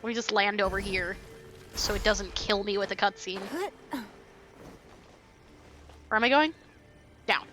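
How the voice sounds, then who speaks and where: Bright-voiced woman streaming a game. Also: mid-range voice, measured pace, pauses often, a little hiss or hum. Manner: playful, talks back to the game's characters. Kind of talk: casual live gameplay commentary.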